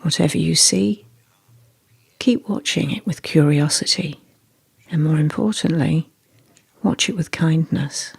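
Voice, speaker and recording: A meditation guide reading a scripted guided meditation in a soft, breathy, measured and deliberate way. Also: mid-range voice.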